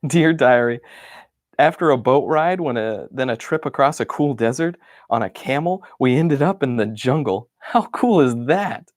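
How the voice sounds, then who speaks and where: A man's voice: medium pitch, expressive, moderate pace, dry recording, studio quality, close to an everyday voice. A man voicing a cheerful, happy-go-lucky wooden stick who reads his diary with bubbly enthusiasm.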